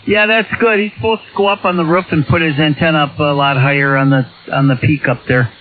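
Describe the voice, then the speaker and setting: Higher-pitched man on a thin CB signal. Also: fairly even intonation, fast talker, narrow, tinny audio, slight background noise. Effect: radio transmission. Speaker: CB radio operator. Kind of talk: casual radio chat. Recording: thin, band-limited CB radio signal.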